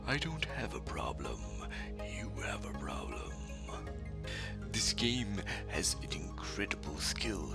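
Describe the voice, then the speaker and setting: A man delivering an opinionated scripted game review rant in an emphatic, hyperbolic, dramatic way with a gravelly voice. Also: on the low side, bright, forceful tone.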